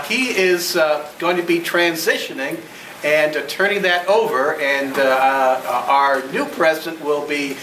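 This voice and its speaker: A man giving a courteous, ceremonious and halting welcome speech as a club president, recorded at a distance in a noisy room. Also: fairly high pitch, sharp tone, echoey room.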